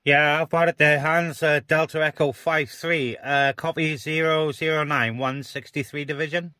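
A man's voice: on the high side, fairly even intonation, moderate pace, bright, forceful tone, no room sound. A man chatting casually as a CB radio operator, speaking inside a parked car. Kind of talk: casual two-way radio chat.

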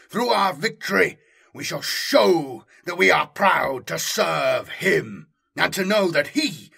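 A man's voice: a little high, gravelly, sharp tone, husky, exaggerated voice. A man voicing a fiery zealot warrior chaplain, raised and commanding. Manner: fiery, commanding, solemn oratory. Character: zealous armoured warrior chaplain preaching before battle. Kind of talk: dramatic audiobook sermon.

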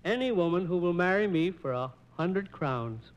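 An actor playing a brave, wry condemned colonel in a 1940s radio operetta. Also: male voice, sits high, lively intonation, moderate pace, highs cut off.

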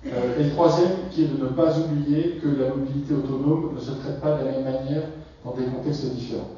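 A man's voice: mid-range voice, fairly even intonation, dark tone, a little hiss or hum. A middle-aged man giving a conference keynote, hesitating often with filler sounds as he explains his points. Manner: hesitant, many filler pauses. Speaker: keynote speaker. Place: large conference hall. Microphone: far 360 camera in the hall. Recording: echoey hall from far off, dull and lo-fi.

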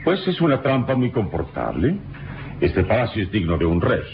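An actor playing a calm, courtly, heroic adventurer in an old radio drama, measured and gallant. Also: male voice, lowish voice, slight background noise. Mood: admiring, calm. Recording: dull old radio recording, loud music.

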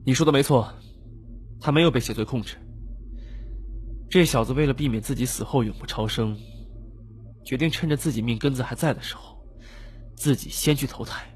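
A man's voice: medium pitch, brisk, long pauses, slight background noise, pitched above the performer's own voice, close to an everyday voice. A man playing a curt, suspicious young swordsman. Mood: grim. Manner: curt, commanding. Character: suspicious, hot-tempered young male swordsman.

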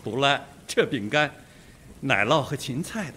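A man's voice: medium pitch, lively intonation, a little slow, pushed and bright, a little hiss or hum. An actor playing a blunt, hearty male police detective in a radio drama.

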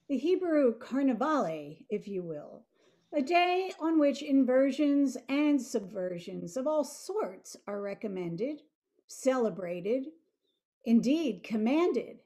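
Older female presenter, higher-set mellow voice, reading a lecture. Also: big pitch swings, measured pace. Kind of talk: scripted lecture narration, read aloud. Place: indoor room at home, on a video call.

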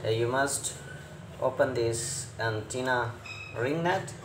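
A man who is not a native English speaker, presenting a hands-on repair tutorial in short, halting phrases with many hesitations. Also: medium pitch. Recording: roomy echo with clear background noise.

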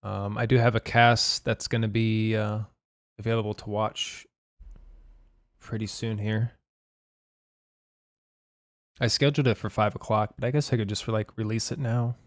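A young man, hoarse from a long session, chatting loosely with his live-stream viewers about the game and reading their chat. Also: lowish voice, little pitch movement, normal speed, pauses often, no echo, clean studio sound. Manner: laid-back, swears casually, reads chat aloud. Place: home room at night.

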